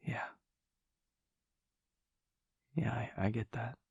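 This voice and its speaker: A man playing a gentle, earnest vampire lover in an intimate audio roleplay, speaking in a halting, stammering, breathy near-whisper. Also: dry recording, dull audio, heavily acted. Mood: tender, curious. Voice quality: breathy, gravelly low voice.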